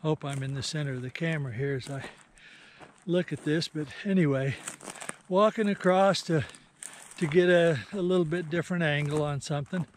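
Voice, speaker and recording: Folksy older man with a slightly high voice. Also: normal speed, a little hiss or hum. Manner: folksy, rambling with many fillers. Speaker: vlogger. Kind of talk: casual vlog narration. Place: in a car, then outdoors in a canyon. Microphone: handheld camera at arm's length.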